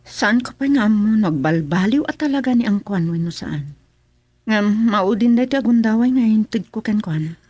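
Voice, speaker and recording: A woman's voice: mid-range voice, animated, normal speed, warm tone, husky, no room sound. A woman playing a fed-up wife in a radio drama comedy, with an Ilocano accent. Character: fed-up wife giving an ultimatum to her husband.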